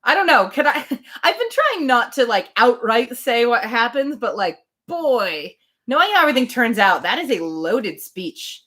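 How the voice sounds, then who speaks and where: A woman's voice: a little high, big pitch swings, moderate pace, pushed and bright. A woman hosting a novel read-aloud, moving between reading and casual, thoughtful commentary in which she often interrupts herself.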